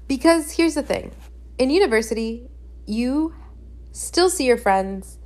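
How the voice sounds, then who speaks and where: A woman's voice: on the high side, lively intonation, a little slow, a little mellow. A young woman hosting a podcast in a casual, candid chat, repeating phrases for emphasis, a native Spanish speaker. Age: young adult. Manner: chatty, candid, emphatic with repetition. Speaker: podcast host.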